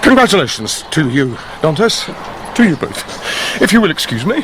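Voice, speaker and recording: A man's voice: a little high, big pitch swings, normal speed, noisy background. An actor playing a bitter, lovesick young man, wounded and brooding, in a radio drama. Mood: wounded, despairing.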